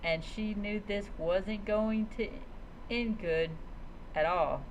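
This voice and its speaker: A streamer narrating a fantasy adventure tale in a dramatic storytelling style, halting and often stumbling over and repeating words. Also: mid-range voice, poor audio. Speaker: streamer narrating own roleplay character's story.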